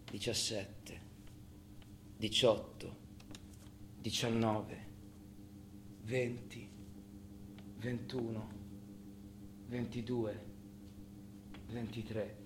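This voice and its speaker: A man reciting in a deliberate monotone, each short phrase spaced out like a count. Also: on the low side. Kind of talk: slow recited counting over music. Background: music.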